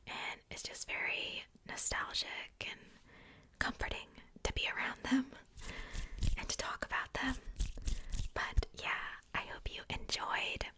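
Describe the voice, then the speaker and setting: A woman whispering softly in a casual, chatty ASMR style, rambling along with conversational asides. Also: measured pace. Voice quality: soft unvoiced whisper. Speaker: ASMR video host showing a doll collection.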